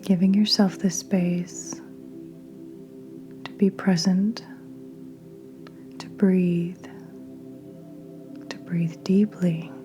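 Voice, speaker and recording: A woman guiding a meditation in a soft, breathy near-whisper, gentle and soothing, with long unhurried pauses. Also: on the low side, fairly even intonation. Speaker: podcast host and meditation guide. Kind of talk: guided meditation narration. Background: soft meditation music with singing bowls.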